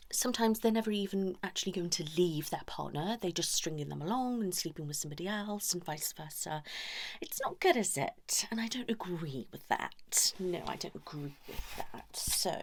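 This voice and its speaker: A woman doing an ASMR therapist roleplay, shifting between a breathy whisper and a soft murmur, chatty and confiding. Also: fairly low pitch, expressive, normal speed. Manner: chatty, confiding, addressing listeners directly with frequent tag questions. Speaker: ASMR performer role-playing a couples therapist.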